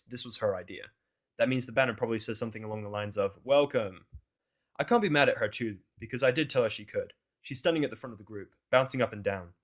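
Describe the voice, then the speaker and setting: A man narrating a scripted first-person fan fiction story in a casual, grumbling, sardonic way. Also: mid-range voice.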